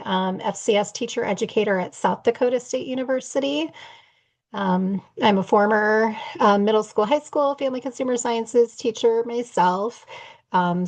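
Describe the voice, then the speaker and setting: A woman's voice: mid-range voice, low-quality recording. A woman teacher educator introducing herself in a friendly, chatty way on a call.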